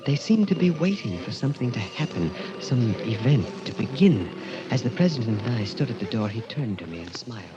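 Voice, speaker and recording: A man's voice: mid-range voice, very animated, a little fast, lightly acted. An actor playing an uneasy, desperate man caught in a macabre tale, in 1940s radio drama style. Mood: haunted, tense. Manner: hesitant in dialogue, intense and dramatic in narration. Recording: muffled old-time radio recording. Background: dramatic music.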